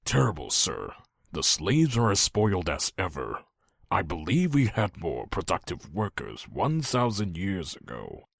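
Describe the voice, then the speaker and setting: A man's voice: deep voice, huge pitch swings, unhurried, vocal fry, no echo, clean studio sound, full character voice. A man playing a harsh pony general, grumbling with contempt in a fan fiction audio drama. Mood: disgruntled. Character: harsh, disgruntled dark pony military general.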